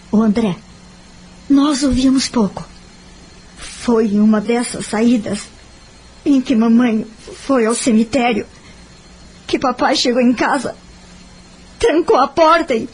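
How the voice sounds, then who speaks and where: A woman's voice: a little high, darkish tone, husky, rough recording. A woman with a Brazilian accent acting a wounded young woman who confides her past in an audio novel. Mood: anguished. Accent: Brazilian Portuguese. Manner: sorrowful, confiding.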